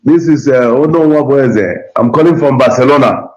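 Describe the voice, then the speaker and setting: A man's voice: mid-range voice, moderate pace. A man from Nigeria calling in to a radio show, respectful, thanking the host at length with many fillers. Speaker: caller. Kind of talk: phone-in call. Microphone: phone or online call. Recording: roomy, dull call audio.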